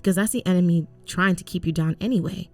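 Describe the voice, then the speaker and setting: A woman's voice: on the low side, quick pace, a little mellow, soft background music. A young woman co-hosting a faith podcast, from Cameroon and raised in Canada, speaking earnestly and emphatically in a casual, preachy way. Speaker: co-host.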